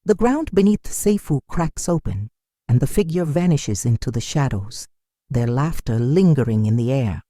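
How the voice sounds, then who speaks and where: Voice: medium pitch, expressive, moderate pace, dark tone, no room sound, clean studio sound. A storyteller giving a dramatic scripted folktale narration in a solemn, suspenseful manner.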